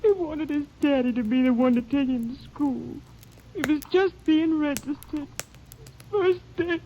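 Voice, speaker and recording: A woman's voice: sits high, measured pace, warm tone, a little hiss or hum, poor audio. A tearful, grieving young mother. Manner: broken, pleading speech. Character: grief-stricken young mother who lost husband and son. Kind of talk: 1940s radio acting.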